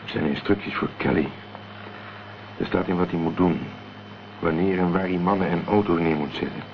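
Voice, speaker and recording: Cool, terse investigator, very low gravelly voice, determined, radio thriller, dull noisy old recording. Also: male voice, normal speed. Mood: analytical. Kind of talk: Dutch radio drama acting.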